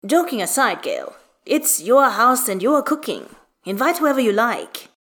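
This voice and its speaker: A woman's voice: fairly high pitch, big pitch swings, moderate pace, fairly bright tone, voice pushed up. A woman voicing an affable, gallant man with a friendly, hearty manner in an audio story.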